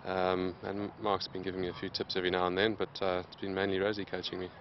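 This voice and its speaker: Deep-voiced man, plain and steady. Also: muffled recording, a little hiss or hum. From Zimbabwe. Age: late 20s. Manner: steady, plain, many "um" pauses. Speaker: interviewed athlete. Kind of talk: casual interview answers.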